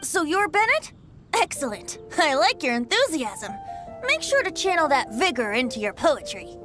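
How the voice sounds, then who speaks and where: A woman's voice: high voice, big pitch swings, moderate pace, over music. A woman voicing a mischievous young male bard in a video game, theatrical, flowery and teasing. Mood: grandiose, cheerful. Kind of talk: animated video game voice acting.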